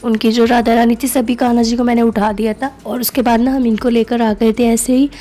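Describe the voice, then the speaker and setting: A woman's voice: fairly high pitch, little pitch movement, a little fast, dark tone. A young woman narrating her family vlog in voice-over, chatty and full of fillers. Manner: chatty, many fillers like "na" and "matlab". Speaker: family vlogger.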